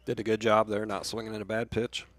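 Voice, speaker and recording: A man's voice: fairly low pitch, flat delivery, fast, dry recording. An American man giving conversational color commentary on a baseball game, with plainspoken, coach-like explanations.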